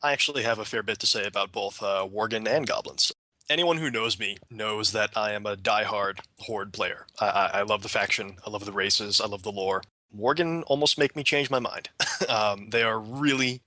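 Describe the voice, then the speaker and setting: Guy on a podcast, kinda low bright voice, into it, casual chat. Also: no room sound. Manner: enthusiastic. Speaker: podcast panelist. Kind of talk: casual panel discussion.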